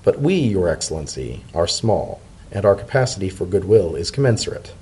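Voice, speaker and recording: A man's voice: low voice, sing-song, dark tone, breathy edge, full character voice. A man voicing a polite, thoughtful pony diplomat in a storybook reading, speaking formally and sincerely. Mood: earnest, measured. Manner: formal, measured, sincere speech. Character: earnest, polite male pony civil servant, thoughtful diplomat.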